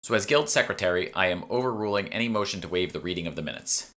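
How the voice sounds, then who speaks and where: A man voicing a formal, measured guild secretary running a meeting in a fantasy audiobook. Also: deep voice, lively intonation, fairly bright tone. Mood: officious. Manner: officious, measured, formal wording. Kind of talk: audiobook character dialogue.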